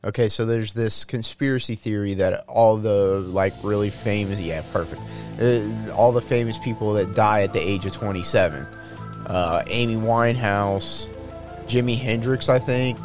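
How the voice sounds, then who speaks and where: A man's voice: on the low side, moderate pace, over music. A man in his twenties hosting a podcast, chatting casually and jokingly, with lots of fillers and restarts. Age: 20s. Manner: rambling, jokey, with many fillers and restarts. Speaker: podcast host.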